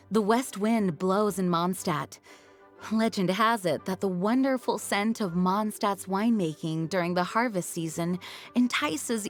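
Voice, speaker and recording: An actress playing a composed, dutiful young woman knight leader in a video game, formal, earnest and measured. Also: medium pitch, natural voice. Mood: explaining. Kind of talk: video game character acting.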